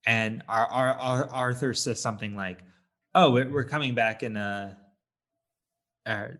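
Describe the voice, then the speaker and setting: A man co-hosting a casual film review podcast, talking haltingly as he searches for words. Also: medium pitch, fairly even intonation, fairly bright tone. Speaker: podcast co-host.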